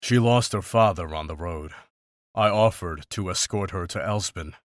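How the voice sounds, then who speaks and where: A man playing a stoic, dry-witted monster hunter in a visual novel, speaking in a terse, deadpan manner. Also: low-pitched, moderate pace, dry recording, natural voice.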